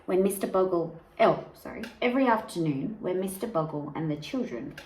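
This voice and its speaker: A woman reading a children's picture book aloud like a teacher, expressively and deliberately, with emphasis on key words and asides to young listeners. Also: fairly low pitch, lively intonation, darkish tone. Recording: echoey room with faint background noise.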